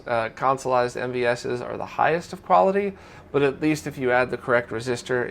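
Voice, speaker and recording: Man, mid-pitched voice, informal news host, conversational delivery, talking to viewers. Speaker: host of a weekly retro gaming news roundup. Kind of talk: casual news roundup to viewers.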